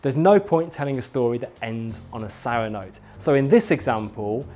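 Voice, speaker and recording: A man narrates an instructional film in a medium-pitched voice. He explains each point with clear emphasis and encouragement, speaking straight to the learner. Faint music plays behind him. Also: expressive, normal speed, darkish tone. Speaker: instructor, presenter. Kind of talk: scripted instructional narration addressed to viewer.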